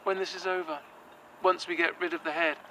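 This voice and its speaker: A man's voice: a little high, level tone, a little fast, background noise, close to an everyday voice. An actor playing a nervous, earnest young man who stumbles over his words. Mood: worried. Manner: nervous, earnest, hesitant with fillers. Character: anxious, hopeful young man, possessed. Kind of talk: audio drama acting.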